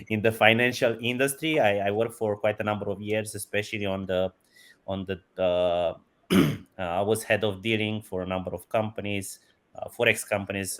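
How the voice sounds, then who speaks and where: Man, 40s, lowish pitch, enthusiastic, chuckles often, interview guest. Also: fairly bright tone. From Romania. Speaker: guest, company CEO. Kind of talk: casual interview answers.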